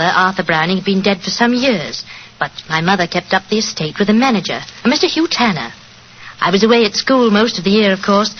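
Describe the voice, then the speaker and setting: A woman's voice: medium pitch, natural voice. An actress playing a sweet, nervous English lady in a 1940s radio drama, polite, modest and a little fluttery. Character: demure, nervous, sweet English lady reminiscing about childhood. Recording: muffled, hissy 1940s radio recording.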